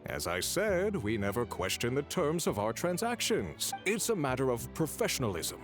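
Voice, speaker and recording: Fawning male desk clerk in a game, smooth, obsequious, wildly swinging pitch. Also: wildly swooping pitch, moderate pace, soft background music. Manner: fawning and smooth, flustered when pressed.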